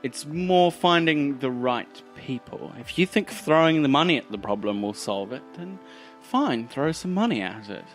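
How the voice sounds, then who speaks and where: A man voicing an aloof, politely dismissive female crime boss in a cyberpunk game, speaking in a measured way. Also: mid-range voice, lively intonation, soft background music, voice pushed up, lightly acted. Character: aloof, cagey crime boss called Duchess, a woman.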